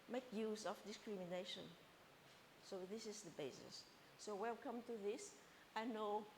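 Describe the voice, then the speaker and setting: A woman giving a meditation session in an informal, halting way, often repeating herself and restarting phrases. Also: medium pitch. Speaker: meditation teacher. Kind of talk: informal spoken teaching. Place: gathering room. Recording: reverberant hall, faint hiss.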